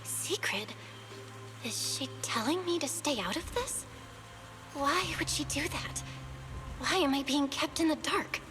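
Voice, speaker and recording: A woman's voice: high-pitched, expressive, unhurried, pauses often. An actress playing an earnest, caring young heroine who guides her fighting partner in a video game. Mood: worried, puzzled. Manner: urgent, earnest. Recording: loud music over the voice.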